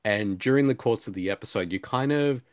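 A man's voice: on the low side, brisk, dry recording, studio quality. A man chatting casually as a podcast co-host in a jokey, rambling way. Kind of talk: casual discussion chat.

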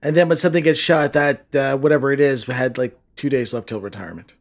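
A man's voice: mid-range voice, fast. A man co-hosting a casual movie commentary podcast, dropping dry jokey asides. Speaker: podcast co-host.

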